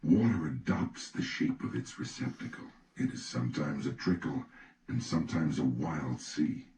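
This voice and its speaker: A man reading an old treatise as an audiobook narrator, in a measured, solemn, declarative manner. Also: very deep voice, animated. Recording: roomy, distant mic with faint noise.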